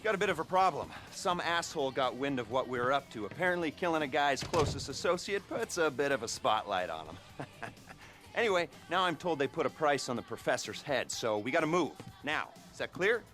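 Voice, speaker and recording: A man's voice: fairly high pitch, big pitch swings. An actor playing a jittery, cagey male heist planner who gives sardonic, hedging mission briefings in a video game cutscene. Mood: irritated, urgent. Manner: hesitant hedging mixed with brisk, sardonic briefing. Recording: music behind the voice.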